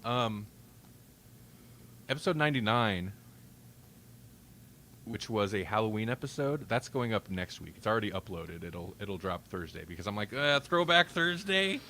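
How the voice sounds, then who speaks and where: A man's voice: on the low side, animated, normal speed, long pauses, bright, forceful tone, slight background noise. A man hosting a casual podcast, talking in a rambling, wry way and often interrupting himself. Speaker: podcast host. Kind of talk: casual banter on a live-streamed podcast.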